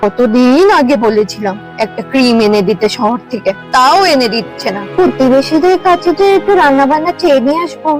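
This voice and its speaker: A woman's voice: high-pitched, fairly quick, hardly any pauses, soft background music, lightly acted, poor audio. A woman playing a vain, quarrelsome young village wife in a cartoon, with whiny, haughty, dramatic complaining. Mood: sulky grumbling. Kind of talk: exaggerated cartoon dialogue.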